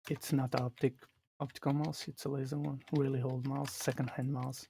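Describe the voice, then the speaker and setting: A man from Portugal with a Portuguese accent, explaining his game live in a halting way that restarts phrases. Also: mid-range voice, level tone, vocal fry, dark tone, a little hiss or hum. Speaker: streamer. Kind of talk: live gameplay commentary.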